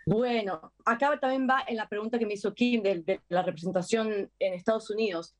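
An actress with an Argentine accent chatting casually in an interview, full of fillers and lively retellings. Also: female voice, medium pitch, a little fast. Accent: Argentine Spanish. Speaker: actress guest.

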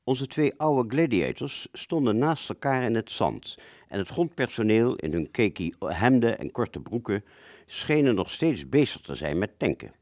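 A man's voice: mid-range voice, sing-song, a little slow, a little mellow, no echo, studio quality. A man playing a wartime fighter pilot who looks back on a mission, telling it reflectively and hesitantly in a radio play. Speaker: storytelling narrator of a radio play. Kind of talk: reflective first-person radio drama narration.

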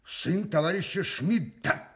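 Slow, flustered provincial official. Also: male voice, high voice, fairly bright tone. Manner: hesitant, stammering, muttering repeated agreement. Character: flustered, gullible middle-aged male provincial Soviet official. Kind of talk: Russian radio play acting.

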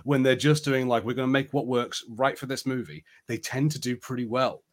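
A man's voice: mid-range voice, brisk. Casual man chatting on a podcast. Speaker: podcast co-host. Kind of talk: casual chat.

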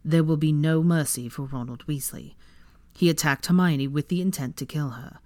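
A woman voicing a stern, calm young husband, measured and protective, in an audiobook story. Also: rumbling low, no echo, studio quality. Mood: hard resolve. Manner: measured, firm.

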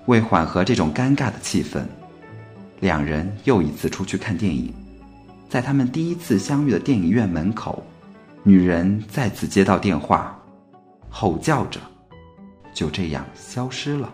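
A man narrates a radio play as scripted storytelling. His voice is fairly low, with very animated intonation, over faint music. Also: moderate pace.

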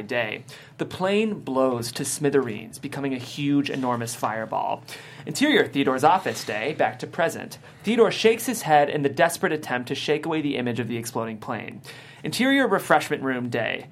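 Lively-pitched screenplay narrator. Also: normal speed. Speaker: stage-direction narrator. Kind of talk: scripted narration of screenplay action.

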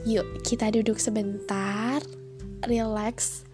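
A woman's voice: mid-range voice, little pitch movement, slow pace, over music. A young woman, talking in a friendly, chatty podcast style, her voice nasal and stuffy from a cold. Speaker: podcast host. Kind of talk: casual solo podcast talk.

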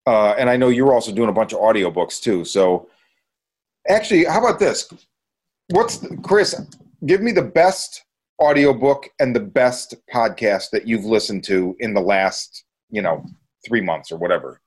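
A man's voice: fairly low pitch, expressive, moderate pace, husky. A man hosting a podcast in a casual, conversational chat style. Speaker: podcast host.

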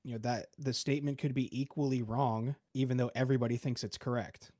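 A man calmly explaining ideas with examples in a casual podcast panel talk. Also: medium pitch, fast, no room sound, studio quality. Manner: calm, explanatory, gives examples.

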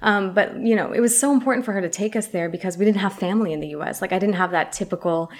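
A woman's voice: mid-range voice, fast. A woman from San Francisco whose first language was Arabic, talking casually as an interview guest with many fillers. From San Francisco, USA. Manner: chatty, many likes and you-knows.

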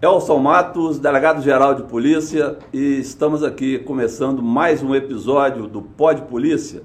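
A man hosting a podcast interview, formal and a little halting as he introduces his guest. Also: mid-range voice, steady tone, echoey room, a little hiss or hum. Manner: formal, halting with repeated words. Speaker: podcast host, police chief.

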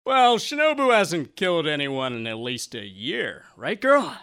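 A man's voice: fairly high pitch, very animated, a little slow, pushed and bright, clean tone, full character voice. A man playing a folksy, easygoing circus strongman in an audio drama, with a hearty manner.

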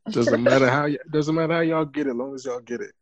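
A man's voice: mid-range voice, fairly quick, lo-fi sound, a little hiss or hum. A man, co-hosting a podcast from home in a laid-back, slangy way. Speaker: podcast co-host. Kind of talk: casual podcast chat.

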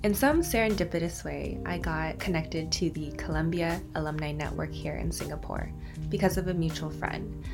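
A woman's voice: deep voice, moderate pace, gravelly, over music. A young adult woman hosting a podcast interview, asking curious, friendly follow-up questions. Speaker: podcast host.

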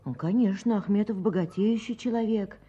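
An actress playing a patient, plaintive peasant wife in a radio drama, softly pleading with mild reproach. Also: female voice, mid-range voice, brisk, slightly rough, natural voice. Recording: dull muffled radio play recording.

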